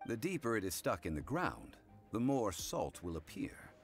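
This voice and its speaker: An actor playing a calm, dignified male scholar and consultant in an anime game, speaking formally and in a measured way. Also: lowish voice. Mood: composed, formal. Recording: music behind the voice.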